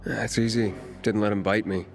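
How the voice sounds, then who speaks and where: A young male outsider in a video game cutscene, guarded and determined. His low voice is terse and direct, with music clearly playing behind it. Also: little pitch movement, moderate pace.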